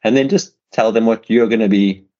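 A man's voice: on the low side, steady tone, fairly quick, a little mellow, dull audio. A man with a South African accent hosting an interview, rambling in casual chat with many restarts and repeated words. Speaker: podcast host.

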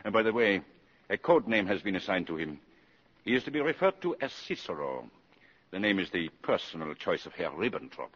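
An actor playing a senior German ambassador in a radio drama, authoritative, measured and wry. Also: male voice, sits low, big pitch swings, fairly bright tone, slightly rough, heavily acted. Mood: wry, commanding. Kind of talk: 1950s radio drama acting. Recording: muffled old radio broadcast recording.

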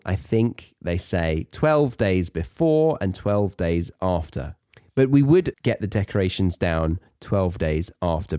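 A British man with a low, mellow voice explains things in a chatty way. His delivery is animated and playful, wandering into self-mocking asides, recorded close and dry. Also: dry recording. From Britain. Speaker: podcast host teaching English learners.

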